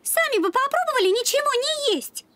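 A woman's voice: extremely high pitch, animated, a little fast, very noisy. A woman voicing a vain, dramatic teenage dog girl in a cartoon, whiny and theatrical. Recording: loud cartoon music behind voice.

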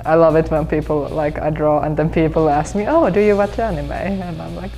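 A teenage girl from Finland, speaking English as a second language, answering interview questions hesitantly with many fillers and soft laughs in a school hallway. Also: low voice, fairly even intonation, brisk, soft background music. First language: Finnish. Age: teens. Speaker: exchange student interviewee. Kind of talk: casual interview.

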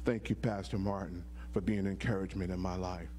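A middle-aged man preaching a sermon from a church pulpit into a handheld mic, with a loud voice and call-and-response lines. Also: sits low, steady tone, quick pace, a little hiss or hum. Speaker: deacon preaching. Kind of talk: sermon with sung hymn.

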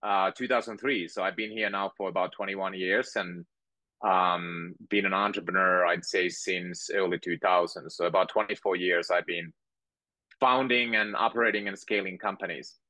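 Guy in his 40s on a video call, thoughtful storyteller, crisp bright tone, dry sound. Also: sits low, fairly even intonation, normal speed, no room sound. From Finland. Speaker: guest entrepreneur. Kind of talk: interview conversation. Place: home room with bookshelves.

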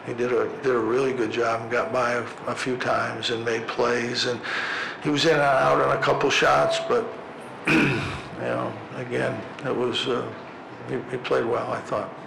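A man's voice: medium pitch, flat delivery, vocal fry. An older man, a basketball head coach, answering questions at a postgame press conference, talking plainly with many fillers and restarts into a podium microphone. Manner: halting, plain-spoken, frequent fillers and restarts. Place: press room at a podium before a sponsor backdrop. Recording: echoey press room with background noise.

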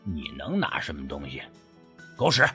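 Man acting a blunt, husky sceptical young man. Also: extremely low pitch, wildly swooping pitch, normal speed, bright, forceful tone, faint music behind, voice pushed down, full character voice. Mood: dismissive. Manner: blunt, sarcastic. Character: sceptical, impatient young man mocking his friend.

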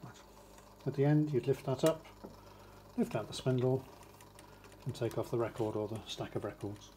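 A man's voice: medium pitch, moderate pace, mellow. A man giving a hands-on, step-by-step demonstration in short, matter-of-fact phrases. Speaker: demonstrator of a vintage record player. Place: indoors, beside a wooden radiogram. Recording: clear background noise with mechanical clicks.

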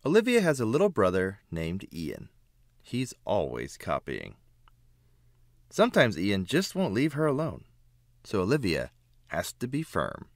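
A man reading a children's picture book aloud with expressive, emphatic phrasing. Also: on the low side, measured pace, long pauses, no room sound, studio quality. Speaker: children's book reader, narrator. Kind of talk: read-aloud storybook narration.

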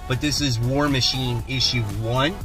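A man in his forties hosting a casual vlog from a parked car, chatty and relaxed, filmed on a phone at arm's length. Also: medium pitch, a little slow, music in the background. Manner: relaxed, chatty, enthusiastic about his finds. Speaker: comic book channel host. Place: parked car, then outdoors and inside a shop. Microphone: phone camera at arm's length.

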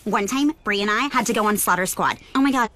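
A woman voicing a whiny, excitable young child camper in a cartoon. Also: mid-range voice, fast, noisy background, natural voice. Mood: whiny, fretful. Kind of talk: animated cartoon acting.

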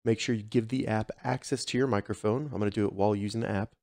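A man hosting a tech tutorial, giving clear step-by-step instructions in scripted narration. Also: fairly low pitch, fairly even intonation, fast talker, a little mellow, no room sound, clean studio sound. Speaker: host of tech tutorial channel.

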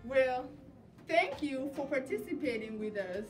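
A young woman from Uganda, reading a picture book aloud slowly and deliberately. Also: sits high, expressive, music in the background. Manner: slow, deliberate storybook reading. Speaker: student reader.

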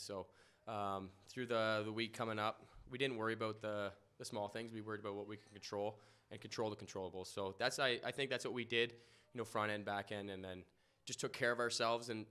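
A man's voice: on the low side, sharp tone, clear, resonant tone. Young man in a post-game press room. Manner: humble, halting, many fillers and restarts. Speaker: lacrosse goalie. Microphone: podium mic in front.

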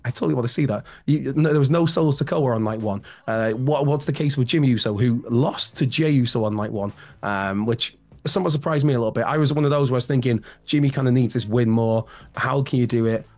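A man in his thirties hosting a solo wrestling news show, his voice slightly hoarse and raspy, chatty and rambling with fillers and asides, recorded at home on a studio mic. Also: mid-range voice, fast talker. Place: home room with shelves, indoors. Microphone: large studio mic in front, headphones.

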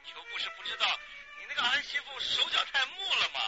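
Very high-pitched, frightened son. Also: male voice, lively intonation, fast. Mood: flustered. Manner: stammering, faltering, evasive. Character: frightened, timid son hiding trouble from his father. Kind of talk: Chinese radio drama acting. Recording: dull audio under loud music.

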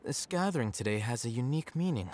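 A man playing a cool, rational young scholar in a video game, speaking in a measured, articulate, dry manner. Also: mid-range voice, expressive, darkish tone, a little hiss or hum, close to an everyday voice. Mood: reflective. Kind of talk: video game drama dialogue.

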